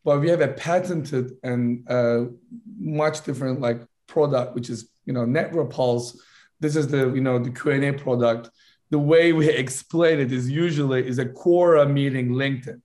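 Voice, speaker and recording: A man from Turkey, a founder making a fluent business pitch in a podcast interview, correcting himself on the fly. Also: moderate pace, a little mellow. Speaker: startup founder guest.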